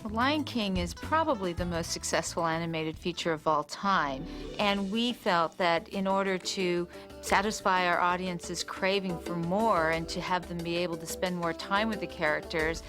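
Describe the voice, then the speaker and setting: A woman speaks as a film executive in a behind-the-scenes interview. Her pitch is a little low, and music is clearly heard behind her. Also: normal speed. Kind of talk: documentary interview.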